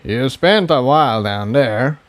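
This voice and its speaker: A man voicing a calm, kindly old male pony priest in a storybook reading. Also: medium pitch, measured pace, lightly acted. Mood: gentle, observant.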